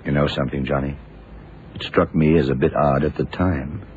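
Casting: laconic, deliberate radio-drama detective, bottom-of-the-register voice, dulled vintage sound. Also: male voice, rumbling low, fairly even intonation, a little mellow. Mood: pondering. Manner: deliberate, probing, questioning. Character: shrewd, laconic male detective puzzling out a murder. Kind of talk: 1960s-70s radio drama acting. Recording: muffled, noisy old radio recording.

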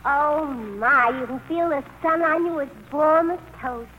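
An actress playing a sweet, chirpy, self-effacing sweetheart who is earnest and accommodating, in a 1940s radio play on a noisy old broadcast. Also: female voice, high voice, measured pace, narrow, tinny audio. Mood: bright, hopeful.

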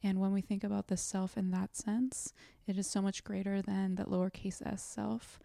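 A young woman from South Korea, speaking as an interview guest in a soft, thoughtful way with frequent pauses. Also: medium pitch, flat delivery, gravelly, dry recording, studio quality. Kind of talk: reflective interview talk.

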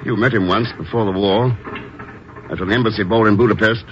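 An actor playing a suave, unflappable secret agent in a 1950s radio drama, dry and understated in manner. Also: male voice, fairly low pitch, fairly even intonation, a little fast, fairly bright tone, natural voice. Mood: wry, composed. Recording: muffled, noisy old radio broadcast.

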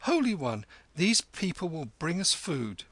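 A man voicing a cocky, quick-witted boy in a storybook, with a lofty, taunting manner. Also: on the high side, big pitch swings, a bit bright, no room sound, voice pushed up, heavily acted. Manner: taunting, loftily self-assured. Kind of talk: storybook character voice.

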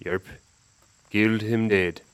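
A man's voice: on the low side, fairly even intonation, slow, fairly bright tone, clear, resonant tone, no room sound, poor audio. An actor playing a surly, suspicious backwoods man who speaks clipped rustic dialect with Dutch words mixed in, in a radio drama. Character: surly backwoods older brother, suspicious and menacing.

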